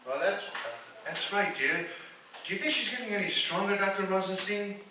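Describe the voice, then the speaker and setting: A man playing a tolerant, long-suffering, gently exasperated husband in a radio play. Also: high-pitched, normal speed, lightly acted. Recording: echoey hall from far off, clear noise.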